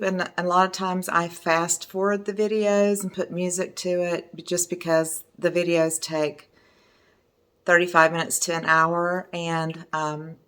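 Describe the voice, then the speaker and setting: A middle-aged woman with a hoarse voice, chatting casually as a resin art teacher while showing her work at home. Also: fairly low pitch, fairly bright tone. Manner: chatty, enthusiastic, meandering. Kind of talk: casual video talk showing artwork.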